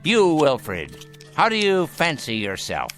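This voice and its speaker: A sly old patriarch in a radio drama, unhurried and imperious. His pitch rises and falls expressively as he probes with sardonic relish, music playing underneath. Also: wildly swooping pitch, fairly bright tone, heavily acted. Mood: probing, sardonic. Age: older. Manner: deliberate, theatrical, probing, sardonic. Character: sly, imperious dying grandfather toying with his heirs.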